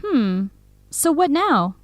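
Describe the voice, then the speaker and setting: Eager supportive young female friend, animated intonation, high pitch, expressive radio drama dialogue. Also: slow pace, no room sound, full character voice. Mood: curious. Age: young adult. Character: supportive, excitable young woman, best friend, aspiring cafe owner.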